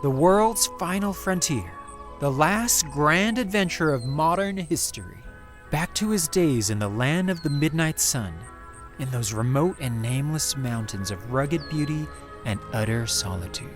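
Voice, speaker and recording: A man's voice: fairly high pitch, expressive, unhurried. A man playing a solemn, poetic storybook narrator, delivering scripted dramatic lines in a grandiose, theatrical way. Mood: grand, nostalgic. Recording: music clearly behind the narration.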